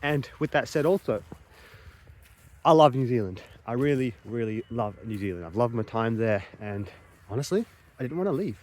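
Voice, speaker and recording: A man's voice: medium pitch, expressive, normal speed, darkish tone. A young Australian man from Queensland talking casually to camera, with frequent ums and uhs. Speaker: vlogger.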